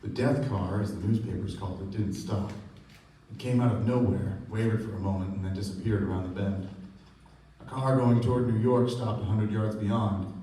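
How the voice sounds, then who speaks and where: A man's voice: on the low side, fairly even intonation, moderate pace, mellow, natural voice. A man playing a sober, reflective narrator in a radio play, recorded at a distance with strong echo and faint noise. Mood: somber. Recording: echoey, noisy stage recording from far off.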